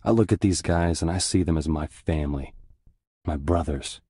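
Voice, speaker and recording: A haunted young American squad leader telling his war story in a very low, husky voice. He is introspective and measured, with a crisp bright edge, close and dry over music, in a war game's cutscene narration. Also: dry recording. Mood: grieving. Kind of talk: scripted first-person war narration.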